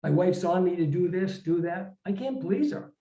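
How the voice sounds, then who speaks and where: In a roomy, slightly muffled recording, a man reads the part of a put-upon husband in his forties from a short story. He is depressed and defensive, grumbling in weary everyday language with a mellow tone. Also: sits high, lively intonation, normal speed. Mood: exasperated. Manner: weary, complaining, colloquial.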